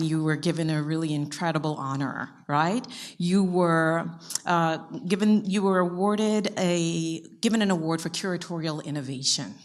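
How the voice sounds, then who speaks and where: A woman moderating a panel conversation, asking a long question and searching for her words as she goes. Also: low voice.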